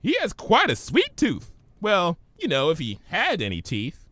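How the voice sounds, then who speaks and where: A giggly, carefree young female cartoon pony voiced by a man. The voice sits very high and bounces all over. Delivery is breezy and exclamatory, in a dry, close recording. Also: wildly swooping pitch, measured pace, fairly bright tone, no room sound, full character voice. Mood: breezy, playful.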